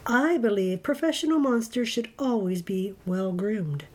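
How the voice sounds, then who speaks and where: A woman's voice: lively intonation, measured pace. A woman voicing a vain, well-groomed male monster in a children's storybook, with a silky voice and refined, courteous manner. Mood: prim. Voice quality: high, silky.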